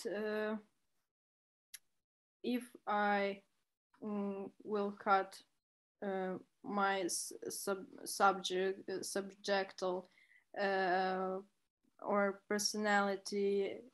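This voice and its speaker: A young woman with a Ukrainian accent, a student answering in an interview, very hesitant as she searches for words in a language that is not her first. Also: mid-range voice. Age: young adult. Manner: very hesitant, searching for words in non-native English. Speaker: university student, interview guest.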